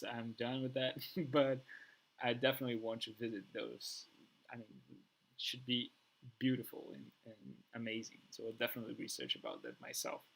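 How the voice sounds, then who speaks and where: A man's voice: mid-range voice, fairly bright tone, low-quality recording. A man hosting a live online session, asking questions with lots of restarts and fillers. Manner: hesitant with many restarts and fillers. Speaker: host. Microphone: video call.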